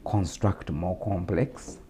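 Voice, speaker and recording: A man's voice: sits low, fairly even intonation, takes it slowly, warm tone. A man from Uganda with a Ugandan English accent, a guest on a talk show, explaining steadily with repetitions and fillers.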